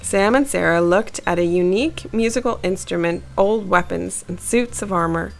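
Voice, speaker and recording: A woman's voice: lowish voice, moderate pace, gravelly. A woman reading a children's story aloud and hosting a kids' story channel. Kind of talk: animated read-aloud storytelling for young children.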